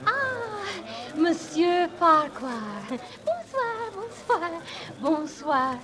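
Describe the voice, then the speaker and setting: Coy, high-voiced exotic operetta diva. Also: female voice, very animated, very slow, crowd noise, exaggerated voice. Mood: coy, worldly. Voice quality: trained operatic soprano singing voice. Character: Sally, young dishwasher posing as exotic, worldly foreign singer. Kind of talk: 1950s radio operetta acting and singing. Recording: 1950 radio broadcast, orchestral music behind.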